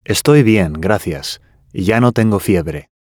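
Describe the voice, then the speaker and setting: A man reading scripted language-course dialogue with careful, clearly enunciated delivery. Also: fairly low pitch, expressive, slow pace, no echo, clean studio sound.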